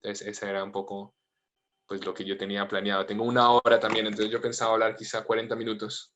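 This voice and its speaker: Thirties priest with a low voice and a mixed accent, gentle and informal, heard over a video call. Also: male voice, completely flat delivery, fast, a little hiss or hum. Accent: mixed Latin American and Spanish. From United States, family from Colombia. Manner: gentle, informal, with frequent fillers.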